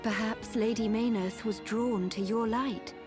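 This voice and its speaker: A woman playing a solemn, wise and resolute guide in a dramatic video game, speaking in measured, formal phrases. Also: on the high side, fairly even intonation, over music, natural voice. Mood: earnest, encouraging.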